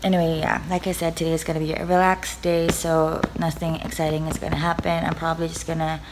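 A woman's voice: deep voice, completely flat delivery, quick pace, noisy background. Giggly young woman vlogging at home. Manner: bubbly, giggly, teasing. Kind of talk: casual vlog chat. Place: living room couch at home. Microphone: handheld camera at arm's length.

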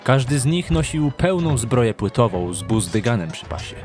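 A man reads dramatic, scripted story narration for an audio drama. His voice sits at a medium pitch, with music clearly audible underneath. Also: sing-song, moderate pace, a little mellow.

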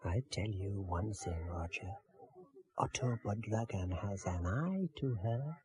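An actor playing a sly, worldly, gossiping medieval monk in a radio drama. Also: male voice, fairly low pitch, lively intonation, measured pace, a little mellow. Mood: confiding. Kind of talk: 1970s radio drama acting.

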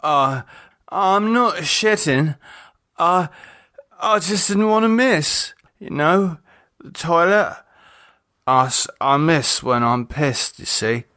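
A man voicing a drunken, confused and distraught man in an audiobook, slurred, halting and rambling. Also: a little high, lively intonation, pushed and bright, dry recording, studio quality, voice pushed up, heavily acted.

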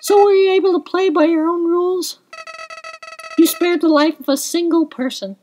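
A woman voicing a smug, taunting evil little flower creature with drawn-out, mocking delivery. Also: extremely high pitch, fairly even intonation, dark tone, voice pushed up. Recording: game music behind.

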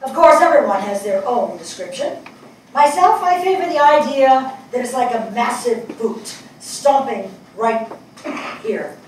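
Older actress as a reflective grieving woman writer, wry, higher-pitched, deliberate stage delivery, very echoey hall. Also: animated. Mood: pained, reflective. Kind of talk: dramatic stage reading of a play. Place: small theatre stage before seated audience. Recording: echoey stage hall, distant mic, faint noise.

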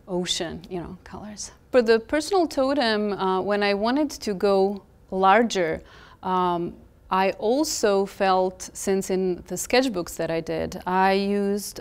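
A woman in her thirties from Croatia, speaking English with a Croatian accent. She is a visual artist in an interview in a gallery, talking thoughtfully with many fillers and gentle pauses. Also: lowish voice, creaky. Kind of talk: reflective interview talk about her art. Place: gallery, indoors, in front of artworks.

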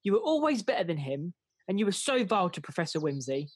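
Woman playing an earnest low-voiced young lad. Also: very animated, fast, husky, no echo. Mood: reproachful. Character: earnest young man, the squire's kindly son. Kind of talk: radio pantomime acting.

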